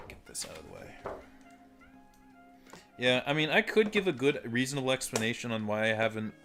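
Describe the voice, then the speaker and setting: A man reviewing toys in a casual, rambling, self-deprecating way, swearing offhand. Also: a bit bright. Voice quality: deep voice. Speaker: toy reviewer. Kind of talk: casual unboxing chat. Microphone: desk microphone and phone mic.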